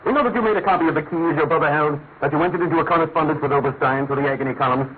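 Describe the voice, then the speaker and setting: A man plays a masterful British gentleman detective in a vintage radio play. The voice sits fairly high, theatrical and authoritative, over a tinny broadcast with faint hiss. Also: racing, close to an everyday voice. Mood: stern, commanding. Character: commanding, incisive English gentleman detective. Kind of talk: old-fashioned British radio drama acting.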